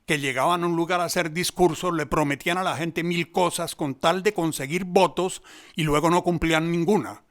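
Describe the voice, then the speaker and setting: A man's voice: on the high side, fairly even intonation, normal speed, fairly bright tone. A man with a Colombian accent giving spontaneous, analytical commentary in an explanatory, reflective manner, like an interviewed expert. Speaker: interviewed commentator on theatre and history.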